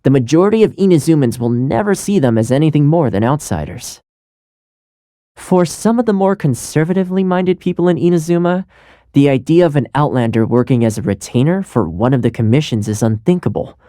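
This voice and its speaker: A man voices a good-natured young retainer from a video game, with a lively, swooping delivery and a mellow tone. He is chatty and earnest, easygoing and friendly, in a close, dry recording. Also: a little high, fairly quick, dry recording, close to an everyday voice. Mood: warm, reflective. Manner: chatty, earnest, conversational with light chuckles. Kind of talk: video game character voice lines.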